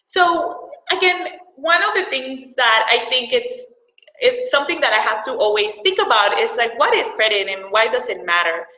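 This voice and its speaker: A young woman from El Salvador explains credit in a webinar, her voice a little higher than most and very bright. She talks conversationally, repeating words and leaning on fillers. The sound carries a clear echo of the room. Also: very animated, moderate pace. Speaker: financial counselor presenting a webinar. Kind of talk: webinar presentation.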